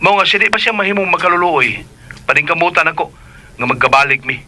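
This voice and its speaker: A man's voice: fairly high pitch, lively intonation, quick pace, bright, forceful tone, slight background noise. An actor with a Filipino Cebuano accent playing a lovesick grown son, earnest and insistent with his mother in a radio drama. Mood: pleading.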